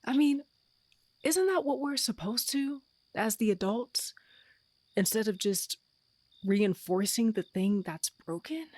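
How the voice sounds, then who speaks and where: A woman's voice: on the high side, expressive, slow pace, a little mellow, no room sound. A woman playing an earnest, frustrated wife and mother in an audio drama, pleading and heartfelt.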